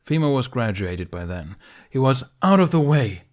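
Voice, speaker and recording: A man's voice: medium pitch, big pitch swings, moderate pace, a little mellow, voice pushed up, close to an everyday voice. A man voicing a furious, grieving middle-aged knight who speaks in a heated, accusing, raised voice in an audiobook reading.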